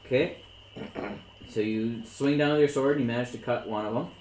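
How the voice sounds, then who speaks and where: A man narrating as dungeon master for friends at a table, relaxed and joking. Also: medium pitch, a little fast. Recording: echoey room, light background noise.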